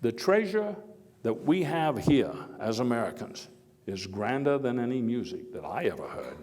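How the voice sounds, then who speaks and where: An actor playing an earnest, folksy statesman orator of early 1900s America in a theatrical first-person speech, emphatic but given to halting digressions. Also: mid-range voice, sing-song, a little mellow, natural voice. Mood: rousing, proud. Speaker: living-history performer. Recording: echoey hall with long reverb.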